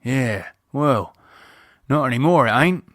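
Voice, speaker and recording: Guy doing a gruff working class brit pub owner, laconic and slangy, audiobook style. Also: mid-range voice, animated, slow, a bit bright, dry recording, studio quality. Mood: matter-of-fact. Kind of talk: audiobook character acting.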